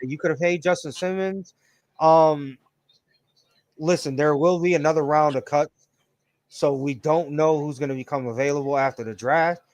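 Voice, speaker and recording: A man in his late thirties co-hosts a relaxed sports talk, slangy and liberal with swearing. His voice sits a little high and sounds dry and close to the mic. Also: moderate pace, dry recording. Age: 30s. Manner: profane, laid-back banter with slang. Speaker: podcast co-host. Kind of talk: casual sports chat.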